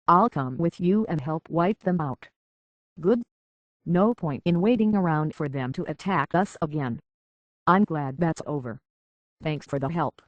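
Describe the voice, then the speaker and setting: An actor voices a plainspoken survivor of a rough harbor town for a video game. The delivery is terse, guarded and folksy. The sound is dry and slightly dull. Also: mid-range voice, no echo, lightly acted. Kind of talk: video game character acting.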